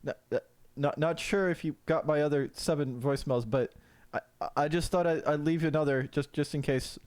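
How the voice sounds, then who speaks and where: A man's voice: on the high side, fairly even intonation, a little fast, a little mellow, dry recording, close to an everyday voice. A man voicing a lonely, timid fifty-year-old gamer who stammers and mumbles shyly in a dramatic story reading. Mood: shy, awkward. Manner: stammering, shy, hesitant, mumbling.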